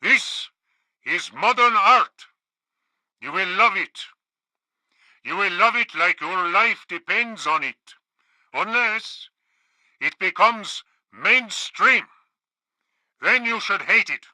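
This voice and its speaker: A man's voice: high voice, animated, slow pace, pauses often, no echo, exaggerated voice. A man playing a bullying army general who barks like a drill sergeant on game radio. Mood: aggressive, commanding. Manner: commanding drill-sergeant shouting. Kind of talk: satirical game radio acting.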